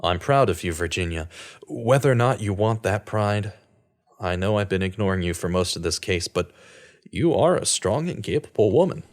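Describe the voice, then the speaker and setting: Man playing a stern, expressive investigator. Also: on the low side, normal speed, natural voice. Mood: proud, reassuring. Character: stern investigator mentoring a young journalist. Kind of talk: audio drama acting.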